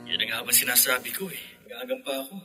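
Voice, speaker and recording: A man's voice: mid-range voice, quick pace, pushed and bright, husky. A man with a Filipino accent playing a worried, caring long-distance partner in a radio drama. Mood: concerned. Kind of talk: classic radio drama acting. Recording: reverberant, with guitar music behind.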